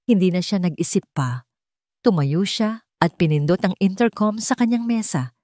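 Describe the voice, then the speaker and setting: A Filipino woman narrates a dramatic audiobook story. Her voice sits low, and the recording is dry and close, with scripted phrasing throughout. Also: expressive, normal speed, dark tone, no echo.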